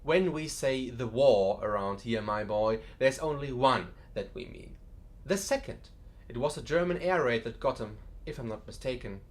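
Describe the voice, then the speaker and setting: A man's voice: medium pitch, lively intonation, normal speed, close to an everyday voice. A man playing a genial, wry museum curator in an audiobook drama, chatty and dryly joking with a macabre humour. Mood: matter-of-fact.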